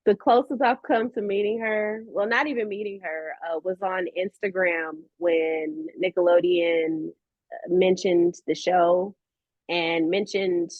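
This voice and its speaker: A woman's voice: fairly low pitch, steady tone, slow pace, no echo. A woman talking casually and expressively as an interview guest, a voice actress telling her own stories. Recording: dull, lo-fi audio.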